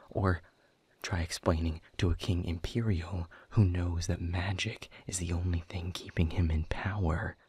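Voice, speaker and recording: A man playing a hopeless, exiled demon in an audio roleplay, weary, bitter and sardonic, with a husky, breathy voice. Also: low-pitched, darkish tone, dry recording, heavily acted. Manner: slow, grave, resigned, sardonic. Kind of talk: intimate audio roleplay acting.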